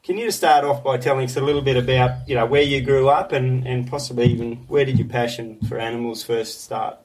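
A man's voice: medium pitch, steady tone, brisk, background noise. A man interviewing a guest, hesitant and self-conscious, with many ums and uhs, on an outdoor back veranda. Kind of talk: casual podcast interview. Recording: voice a bit far from the mic.

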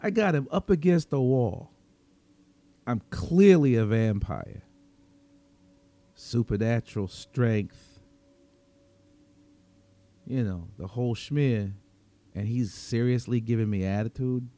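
A man narrating a dramatic story in the first person as a cynical, wry, menacing vigilante vampire, with sardonic, slangy delivery and dramatic pauses. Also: medium pitch, warm tone, close to an everyday voice. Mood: incredulous, cocky.

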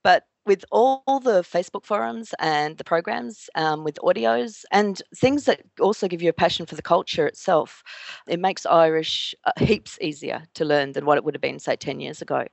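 A woman's voice: lowish voice, normal speed, vocal fry, no echo. An Australian woman talking as a podcast guest in casual, meandering chat, full of fillers and restarts. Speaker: guest, Irish language learner.

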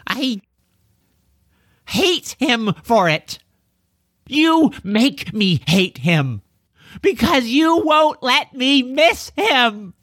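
A man's voice: high voice, sing-song, unhurried, fairly bright tone, no echo, studio quality, voice pushed up, heavily acted. A man voicing an earnest, grieving boy in an emotional, pleading way for a children's audiobook. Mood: hurt, angry. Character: earnest, grieving boy who talks like a young knight.

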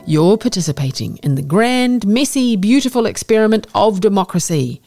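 A woman reading a scripted opinion essay aloud as a narrator, with an expressive, measured delivery over music. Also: mid-range voice, gravelly.

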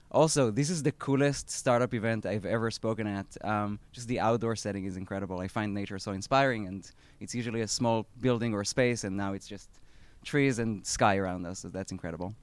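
A man in his thirties with an Israeli accent, a startup founder giving a live talk at an outdoor event, halting with many fillers and restarts. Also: fairly low pitch, clear, resonant tone.

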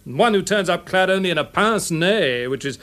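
High-voiced, sceptical English police inspector. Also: male voice, lively intonation, brisk, fairly bright tone. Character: sceptical, sensible male police inspector, detective's friend. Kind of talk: BBC radio drama acting.

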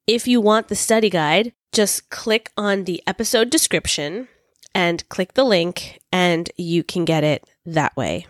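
A woman hosting a podcast English lesson, speaking clearly and patiently while recovering from a cold. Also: on the low side, no echo, clean studio sound. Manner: clear, patient teaching delivery. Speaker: English teacher and podcast host.

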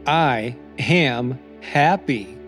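A man reading scripted motivational affirmations as a podcast host, in a deliberate, encouraging manner. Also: medium pitch, animated, a bit bright. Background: new-age music.

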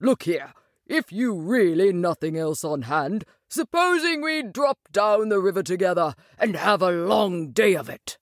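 A woman's voice: mid-range voice, sing-song, measured pace, no echo. A woman plays a cheerful male water rat from a storybook, easygoing and hearty. The delivery swings freely up and down, breezy and a little dreamy, in a close, dry recording. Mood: carefree, breezy. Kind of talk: animated storybook character reading.

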